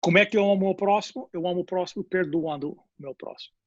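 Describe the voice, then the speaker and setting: A man with an English accent, a pastor whose first language is English, talks in a panel discussion, hunting for words and dropping in English fillers. His voice sits a little high and comes out husky. The audio is dull and lo-fi, with a dry, close sound. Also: fast talker, no echo.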